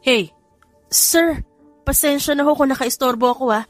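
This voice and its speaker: A woman voicing a flustered, apologetic young bride in an audio drama, startled and hurried. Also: high-pitched, soft background music, voice pushed up, natural voice.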